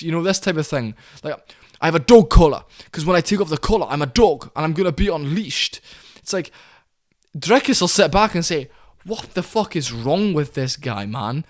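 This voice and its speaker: A man rants about fighters in a heated, opinionated way, slipping into put-on voices when he quotes them. His voice sits on the higher side for a man. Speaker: commentary channel host. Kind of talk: ranting fight commentary.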